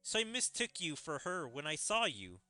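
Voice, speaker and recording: A man voicing a friendly, easily flustered young slime hero in a video game, earnest and expressive. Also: on the high side, normal speed. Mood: apologetic, surprised. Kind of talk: reading video game dialogue aloud.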